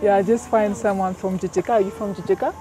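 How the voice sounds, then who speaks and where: A woman's voice: medium pitch, fairly even intonation, quick pace. A young woman with an Ethiopian accent, chatting casually to camera as a travel vlogger. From Addis Ababa, Ethiopia. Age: young adult. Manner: informal, addresses viewers as guys. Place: busy airport, tarmac and plane cabin. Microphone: clip-on mic, selfie camera at arm's length. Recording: noisy airport and plane cabin ambience.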